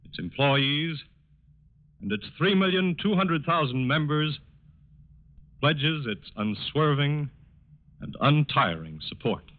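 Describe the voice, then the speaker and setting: An American man reading a scripted radio announcement in a solemn, formal, measured 1940s style. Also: on the high side, animated, a little hiss or hum. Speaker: announcer and sponsor's spokesman. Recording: muffled vintage 1940s radio broadcast.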